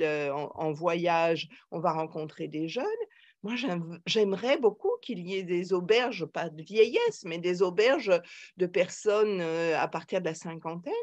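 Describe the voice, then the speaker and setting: An older woman, answering conversationally in an interview, emphatic and reflective, pausing as she searches for words. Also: fairly low pitch, a little mellow, dull audio. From Paris, France. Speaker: psychoanalyst and author, podcast guest.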